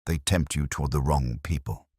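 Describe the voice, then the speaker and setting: A man's voice: very deep voice, normal speed, a little mellow, slightly rough, no echo, studio quality. A man voicing a sly, calculating young female graduate student in a murmuring, insinuating way for a mystery story.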